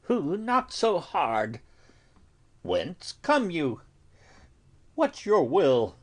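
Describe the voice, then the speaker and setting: Shakespeare audio drama: exasperated holy friar played by a man, breathy husky voice, drawn-out deliberate phrasing, highly varied intonation. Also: very animated, heavily acted. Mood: urgent alarm. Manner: slow, deliberate, dramatic, dropping into hushed breaths. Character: stern, exasperated male friar counselling a youth. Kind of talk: classical stage tragedy in audio.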